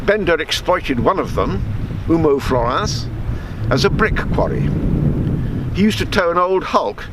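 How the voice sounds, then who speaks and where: A man reading his own written story aloud in a measured storytelling manner, with small stumbles and self-corrections. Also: on the high side, expressive, background noise.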